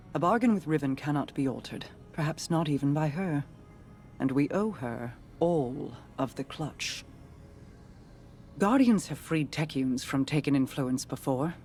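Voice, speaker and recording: A woman playing a solemn, guarded noblewoman and arcane strategist in a video game, formal, deliberate and grave. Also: low voice, darkish tone.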